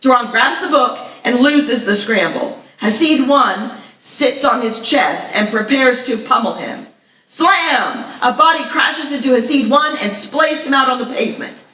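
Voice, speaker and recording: Bright-voiced woman narrating in an echoey room. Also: high-pitched, animated, measured pace. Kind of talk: reading screenplay action lines aloud at live table read. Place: live festival reading in echoey room. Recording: echoey room, distant mic.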